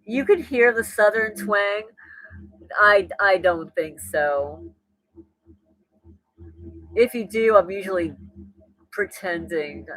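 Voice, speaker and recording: A woman's voice: mid-range voice, takes it slowly, long pauses, fairly bright tone, dry recording, rough recording. A woman with a New Jersey accent hosting a casual livestream, reading and answering viewer comments as she goes. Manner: chatty, thinks aloud, frequent restarts and laughs. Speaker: livestream host.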